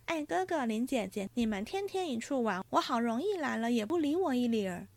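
Casting: woman playing a bubbly, lisping young girl, high and louder than the narration. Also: very animated, normal speed, dry recording. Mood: playful. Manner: playful, bantering. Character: lively, bubbly girl with a lisp.

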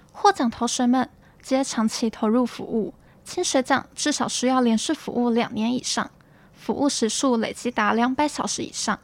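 A young woman with a Taiwanese Mandarin accent reading scripted campus news as a student presenter. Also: fairly high pitch. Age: young adult.

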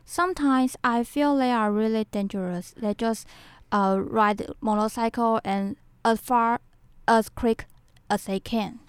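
High, clear Taiwanese woman's voice. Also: fairly even intonation, a little slow, no echo. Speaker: podcast co-host. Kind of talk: conversational co-hosting.

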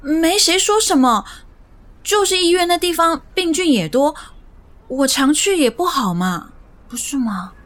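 An actress playing a soft-hearted, evasive young woman in a romance radio drama, with a hesitant, coaxing way of talking. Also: high voice, lively intonation, unhurried. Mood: pleading.

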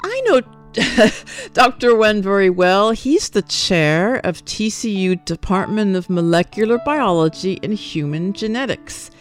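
A woman hosting an interview show, who speaks in a friendly way and often gives quick "oh" and "mm-hmm" responses. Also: mid-range voice, lively intonation, soft background music. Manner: friendly, quick acknowledgments, small laughs. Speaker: radio show host.

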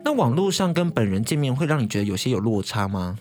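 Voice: medium pitch, big pitch swings, quick pace, darkish tone. A radio host with a Taiwanese Mandarin accent, chatting casually and playfully in an interview, teasing and exclaiming, with some English words mixed in. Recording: music playing behind the voice.

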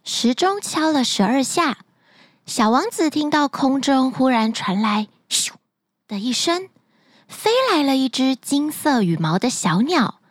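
A woman narrating a children's bedtime fairy tale in an expressive, sing-song storytelling manner, recorded close to the mic. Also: high-pitched, unhurried, dry recording.

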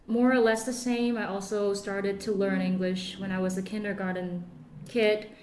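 Expressive young woman, roomy sound. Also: mid-range voice, moderate pace, a little hiss or hum. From Hong Kong. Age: young adult. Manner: expressive, animated storyteller. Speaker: student co-host. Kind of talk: casual podcast chat. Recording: roomy echo.

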